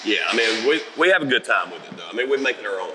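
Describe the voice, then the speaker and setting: A middle-aged man from South Carolina, a country musician with a raspy voice, chatting easily and humbly in a festival interview. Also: fairly low pitch, expressive, racing, big-room sound, background noise.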